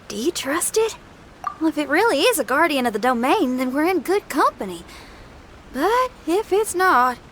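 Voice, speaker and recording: A woman's voice: high voice, fairly bright tone, a little hiss or hum, full character voice. A woman voicing a bold young rock musician in a video game, with a casual, confident, down-to-earth manner. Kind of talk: English video game voice acting. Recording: music behind the voice.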